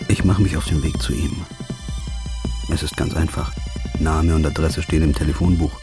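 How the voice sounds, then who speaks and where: A man narrating in the first person in a flat, brooding, understated way, as a noir audio drama lead. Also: very deep voice, moderate pace, over music.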